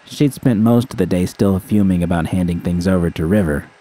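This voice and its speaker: A man's voice: low voice, fairly quick, mellow, slight background noise. A man reading a scripted first-person story as narration. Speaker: audiobook reader of fan fiction.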